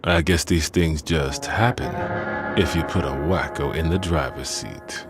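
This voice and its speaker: Very deep, sardonic slacker. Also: male voice, a little slow. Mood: deadpan. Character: laid-back, sardonic runaway asylum inmate, male. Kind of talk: adventure game character acting. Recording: loud music under the voice.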